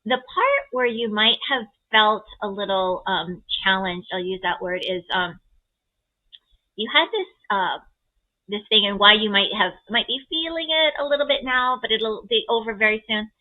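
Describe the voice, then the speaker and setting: Woman, mid-pitched, astrologer, conversational, explanatory, encouraging, narrow dull audio. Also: moderate pace. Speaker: astrologer guest giving chart readings.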